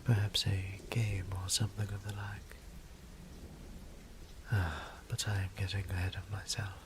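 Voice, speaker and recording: A man playing a weary, courteous immortal vampire priest in an audio drama, speaking formal, old-fashioned English in a breathy, gravelly near-whisper. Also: sits low, flat delivery, measured pace, noisy background. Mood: fond, musing. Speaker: voice actor. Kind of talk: scripted audio drama monologue.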